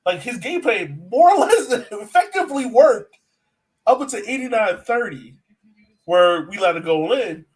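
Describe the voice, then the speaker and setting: A man with a fairly high voice talks soccer as a podcast co-host. His delivery is conversational and informal, and he leans on his points with some emphasis. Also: lively intonation, normal speed, low-quality recording. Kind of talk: casual soccer analysis chat.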